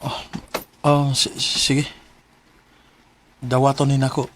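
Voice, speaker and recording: A man's voice: mid-range voice, monotone, slow pace, noisy background, close to an everyday voice. An actor with a Cebuano Filipino accent playing a devoted, emotive young male student in a radio drama. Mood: humble, grateful. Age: young adult. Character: devoted young male student, working to help his ailing mother.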